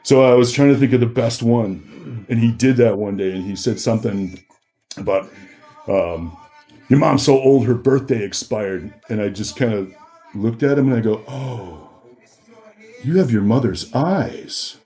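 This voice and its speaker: A man's voice: mid-range voice, a little slow, dark tone, faint music behind. A man, telling stories casually as a podcast guest, informal and joking. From Minneapolis, USA. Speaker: podcast guest, DJ and personal trainer. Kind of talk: casual storytelling.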